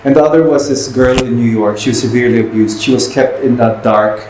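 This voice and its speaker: A man's voice: medium pitch, fast, a little mellow. A man telling anecdotes as a podcast host in casual, rambling talk, often restarting his phrases. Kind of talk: casual interview chat with anecdotes. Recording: echoey room with faint noise.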